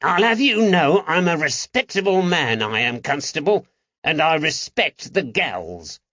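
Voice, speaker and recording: A man's voice: a little high, sing-song, unhurried, bright, forceful tone, no echo, lo-fi sound, voice pushed up, heavily acted. A man voicing a snivelling, indignant Cockney moneylender in an audiobook, whining and pleading defensively. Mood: whining indignation. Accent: London Cockney. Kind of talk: audiobook character acting.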